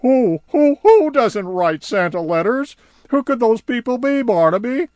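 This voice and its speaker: A man voicing an eager, big-eared male animal postman who talks loudly and pleads insistently. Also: very high-pitched, very animated, a little slow, no room sound, clean studio sound, voice pushed up, heavily acted. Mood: eager, pleading.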